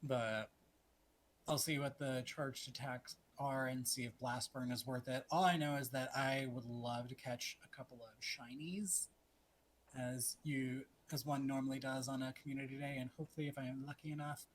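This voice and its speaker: A man's voice: mid-range voice, fairly even intonation, normal speed, a little hiss or hum. A man in his twenties co-hosting a casual podcast, chatty, laughing easily and trailing off with fillers. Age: 20s. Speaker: podcast co-host.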